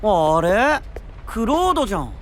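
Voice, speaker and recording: An actor playing a cheeky, self-assured young knight, an older brother with a breezy, teasing way of talking, in an anime audio drama. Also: male voice, sits high, sing-song, moderate pace, fairly bright tone, a little hiss or hum. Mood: breezy, smug.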